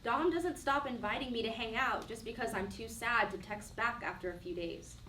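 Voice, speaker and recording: A woman's voice: on the high side, normal speed, sharp tone. An actress playing a defiant, wounded twenty-three-year-old woman in a stage play, speaking earnestly and defensively. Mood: hurt, insistent. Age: young adult. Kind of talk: one-act play dialogue. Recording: roomy echo, distant mic, faint noise.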